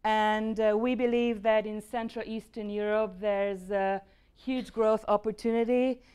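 A woman's voice: medium pitch, little pitch movement, a little slow, darkish tone, clear, resonant tone. A middle-aged woman with a Hungarian accent presents market research in a university lecture room, explaining with frequent fillers.

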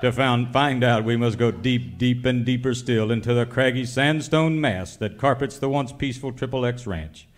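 A man's voice: mid-range voice, normal speed, few pauses, close to an everyday voice. A man playing a melodramatic announcer narrating a mock old-time western radio serial. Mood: portentous. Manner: portentous, dramatic.

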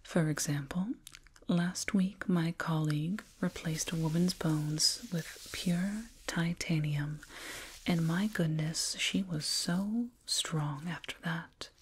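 A woman whispering slowly and soothingly in an ASMR roleplay as a condescending alien dentist, close to a binaural microphone. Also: deep voice, fairly even intonation. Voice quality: breathy whisper. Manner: slow, soothing, patronizing, deliberate.

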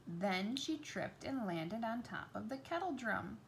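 A woman's voice: fairly high pitch, animated, unhurried, poor audio. A woman reading a children's storybook aloud as a storyteller. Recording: noticeable background noise.

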